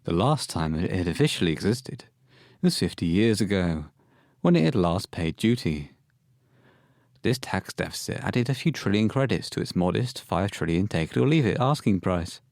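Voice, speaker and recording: A man's voice: deep voice, very animated, normal speed, darkish tone, no room sound, clean studio sound. A man reading scripted narration as the storyteller of an audio horror play.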